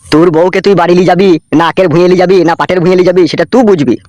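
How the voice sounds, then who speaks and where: Fast-talking, bullying cartoon henchman done by a man. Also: high voice, steady tone, a little mellow, no echo, dull audio, natural voice. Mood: stern warning. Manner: foul-mouthed, warning, coarse. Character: gruff-talking, bullying male henchman of a local politician. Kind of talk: comic cartoon dub.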